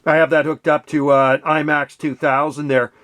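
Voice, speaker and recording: Older man chatting on CB, slightly high voice. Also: flat delivery, moderate pace, a bit bright. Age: 60s or older. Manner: folksy, uses CB slang. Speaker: radio hobbyist, channel host. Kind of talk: casual CB radio chat.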